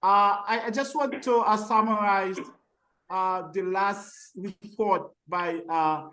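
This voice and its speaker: A man, starting a report on a workshop video call and speaking haltingly with many fillers. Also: high-pitched, bright, forceful tone. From Haiti. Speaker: workshop participant. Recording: echoey, distant call audio.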